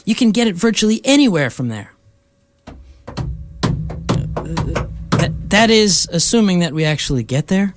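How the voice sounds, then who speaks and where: A man's voice: sits high, sing-song, measured pace, pauses often, very noisy. A man playing a breezy, matter-of-fact alien space traveler in a radio play.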